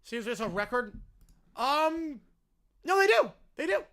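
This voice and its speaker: A man hosting a live sports reaction stream, chatting casually and answering viewers, his voice hoarse and worn out. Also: extremely high pitch, very animated, unhurried, fairly bright tone. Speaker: livestream host.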